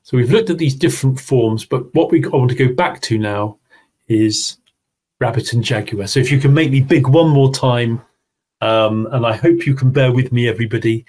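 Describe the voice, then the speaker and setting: A man's voice: mid-range voice, normal speed, a little mellow. A man presenting an online teaching seminar, talking his audience through his slides. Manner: explanatory, talks through slides. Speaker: webinar presenter.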